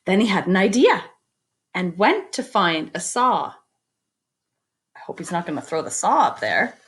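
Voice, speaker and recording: A woman reading a children's picture book aloud expressively, with chatty asides to her young listeners. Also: lowish voice. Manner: expressive, pauses to comment and invite predictions. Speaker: read-aloud storyteller.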